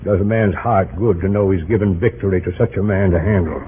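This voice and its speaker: An actor playing a loyal, forthright middle-aged army commander in an old-time radio drama, formal, earnest and direct. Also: male voice, deep voice, quick pace, lightly acted. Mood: warm admiration. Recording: tinny, noisy old radio recording.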